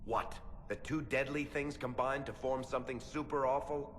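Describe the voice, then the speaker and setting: A man playing a sardonic, world-weary dwarf rogue and storyteller in a video game, salty and expressive. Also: mid-range voice, moderate pace, lightly acted. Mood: accusing, exasperated. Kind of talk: video game cutscene voice acting. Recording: reverberant cavern sound with ambient noise.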